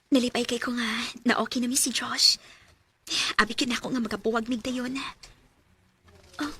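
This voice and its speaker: High soft voice, anxious young cebuano filipina girlfriend played by an actress, pleading, emotional radio drama style. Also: fairly even intonation, moderate pace, noisy background, natural voice. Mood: tender, cautious. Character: Mira, anxious, devoted young woman in a troubled romance. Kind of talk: Bisaya radio drama acting.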